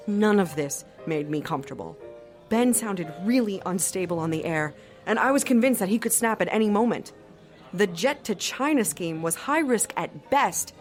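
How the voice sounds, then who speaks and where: A woman's voice: on the low side, natural voice. An actress playing a shrewd, sardonic woman who narrates an audio drama in a wry, confiding manner.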